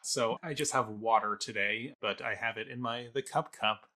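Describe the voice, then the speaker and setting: A man's voice: medium pitch, normal speed, bright, forceful tone. A man co-hosting a casual review show, talking in wordy, rambling asides. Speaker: podcast co-host.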